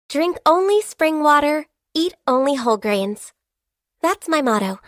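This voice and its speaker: Game casting: youthful, high-voiced half-Qilin girl, centuries old, shy, polite and diligent, dry studio sound. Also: no room sound. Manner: soft-spoken, polite, earnest, formal wording. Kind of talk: video game character voice lines.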